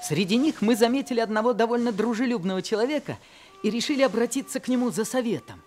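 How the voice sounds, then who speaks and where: Teen boy narrator, high, earnest; old radio drama. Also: fast talker, darkish tone, slight background noise. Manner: earnest storytelling. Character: teenage boy narrating his story, restless. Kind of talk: 1960s Soviet radio drama acting.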